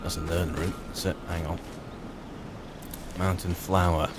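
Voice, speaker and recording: Man playing a low-voiced, wry fantasy adventurer. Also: level tone, unhurried, loud music behind the voice. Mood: musing. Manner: musing to himself, hesitant, wryly polite. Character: wry, grumbling male adventurer in a fantasy world. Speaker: roleplaying game player and narrator. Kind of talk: in-character improvised gameplay commentary.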